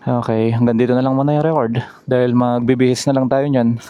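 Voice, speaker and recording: A man's voice: mid-range voice, little pitch movement, brisk, no echo, dull audio. A Filipino man with a Filipino accent narrates a casual vlog to his viewers, mixing English words into his talk. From Philippines. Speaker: motovlogger. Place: outdoors, riding a motorcycle. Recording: clear vehicle and outdoor background noise. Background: vehicle and outdoor ambient noise.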